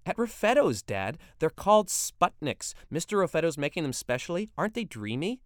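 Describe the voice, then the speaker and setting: A man voicing a giggly, pleading teenage girl in a read-aloud story, pitching his voice high and bubbly. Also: very animated, normal speed, no room sound, studio quality. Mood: excited.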